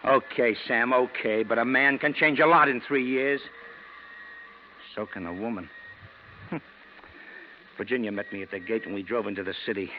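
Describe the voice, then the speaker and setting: An actor playing a nervous, slangy American ex-convict in a 1940s radio crime drama, pleading and hesitant. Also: male voice, mid-range voice, moderate pace, pauses often, lightly acted. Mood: insistent. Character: nervous, fast-pleading male ex-convict, slangy small-time crook. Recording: dull, noisy vintage radio recording.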